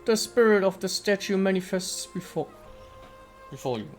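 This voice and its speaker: A man's voice: high-pitched, lively intonation, normal speed. Male gaming host, native German, walkthrough commentary and read-aloud narration, light music bed. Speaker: gaming YouTuber.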